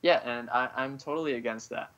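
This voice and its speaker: A man originally from Vietnam, a guest writer on a podcast interview, talking haltingly and leaning on "you know" between thoughts. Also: mid-range voice, a bit bright.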